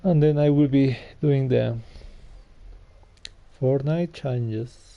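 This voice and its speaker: A man's voice: mid-range voice, slow pace. A man giving casual gameplay commentary, hesitant, often repeating words and trailing off. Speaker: gaming YouTuber. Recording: dull lo-fi mic with faint game music.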